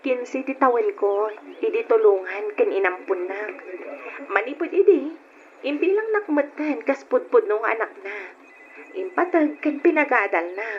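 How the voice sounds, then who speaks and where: A woman's voice: on the high side, lively intonation, normal speed, natural voice. An actress playing a polite, earnest, grateful young working woman and devoted daughter in a radio drama. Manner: deferential, earnest. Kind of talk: Ilocano radio drama acting. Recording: dull, noisy old radio drama recording.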